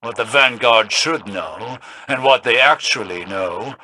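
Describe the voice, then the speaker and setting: Shrewd, bright-voiced cryptic strategist. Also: male voice, medium pitch, low-quality recording. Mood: brooding, calculating. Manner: measured, deliberate, insinuating. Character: shrewd, cryptic male sorcerer-strategist, slyly menacing. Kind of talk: video game voice acting.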